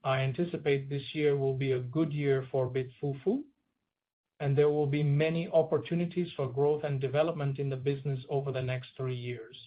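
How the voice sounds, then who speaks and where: A man's voice: mid-range voice, flat delivery, normal speed. A man speaking as a chief executive, reading scripted remarks on an earnings call in a formal manner. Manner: formal, read-out delivery. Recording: tinny phone-line conference call audio.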